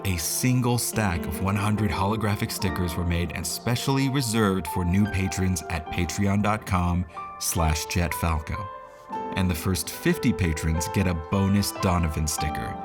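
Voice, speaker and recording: Man as podcast host: fairly deep, informal promo read addressed to listeners, clear music underneath. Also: moderate pace. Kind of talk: casual scripted announcement to listeners.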